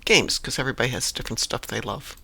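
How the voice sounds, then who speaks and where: A man's voice: medium pitch, quick pace, vocal fry, sharp tone. A man streaming a game, chatting casually and musing aloud as he sorts through menus. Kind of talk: casual chat while gaming.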